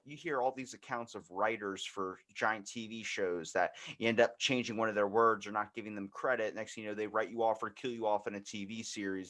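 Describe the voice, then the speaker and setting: A man's voice: fairly low pitch, a bit bright. A man hosting a podcast in casual conversation, talking in a rambling, informal, slangy way. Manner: rambling, informal slangy talk with frequent 'like'. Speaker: podcast host.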